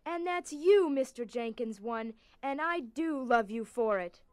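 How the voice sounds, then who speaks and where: A woman's voice: sits high, lively intonation, unhurried, a little hiss or hum. A woman playing an earnest, determined young schoolgirl, speaking directly, in an audio drama. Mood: resolute.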